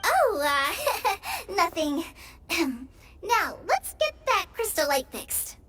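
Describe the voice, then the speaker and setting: A woman voicing an upbeat, self-assured, encouraging girl in an anime game, cheerful and expressive. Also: very high-pitched, slow, a little hiss or hum, heavily acted. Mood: flustered.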